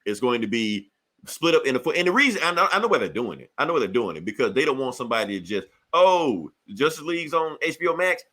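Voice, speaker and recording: A man hosting a casual pop-culture podcast, chatting in a profane, joking, animated way. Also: mid-range voice, fast. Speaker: podcast host.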